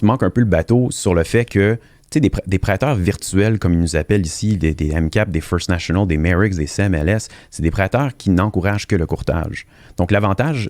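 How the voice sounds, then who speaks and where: A very deep-voiced man explains his industry as a podcast guest. He talks earnestly, often stumbling and repeating words. The recording is dry and close. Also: a little mellow, dry recording. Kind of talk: interview answers.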